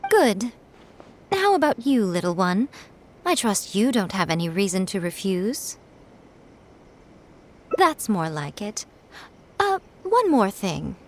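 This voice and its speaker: A woman's voice: mid-range voice, very animated, takes it slowly, pauses often, exaggerated voice. A woman voicing a sly, elegant head priestess in a video game, teasing and poised in a playfully manipulative way. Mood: coy, commanding. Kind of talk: video game character acting.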